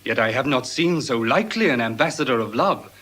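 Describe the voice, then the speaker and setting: An actor playing an eager, effusive household messenger in a classical play, speaking in florid announcements. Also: male voice, mid-range voice, expressive, fairly quick, fairly bright tone. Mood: excited, admiring. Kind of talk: classical stage verse acting.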